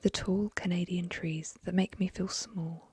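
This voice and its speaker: A woman whispering softly into a close microphone, reading a short story aloud as ASMR. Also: fairly low pitch, fairly even intonation, measured pace, slightly rough.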